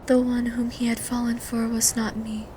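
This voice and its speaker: A woman playing a quiet, expressionless teenage girl in an audio drama, reciting her lines plainly. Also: fairly high pitch, completely flat delivery, normal speed, lightly acted. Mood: emotionless. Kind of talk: fan audio drama acting. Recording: clear background noise and ambience.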